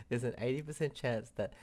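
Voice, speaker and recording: A woman's voice: sits low, darkish tone, breathy edge. A woman game streamer giving casual, chatty and slightly hesitant playthrough commentary. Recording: music behind the voice. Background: game music.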